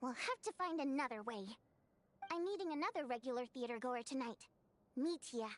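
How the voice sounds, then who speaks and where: A spirited young stage performer from a video game. Her voice is high and swings widely through its melody, theatrical and expressive. She has an indignant streak and the conviction of an activist. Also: normal speed, slight background noise. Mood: confiding, businesslike. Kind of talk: scripted game voice acting.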